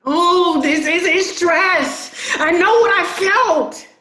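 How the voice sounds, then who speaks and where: An actress playing a bereaved, distraught adult daughter in a stage drama, insistent and emotional, recorded in an echoey room. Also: female voice, very high-pitched, pushed and bright, exaggerated voice. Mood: adamant. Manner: insistent, emotional, drawn-out delivery.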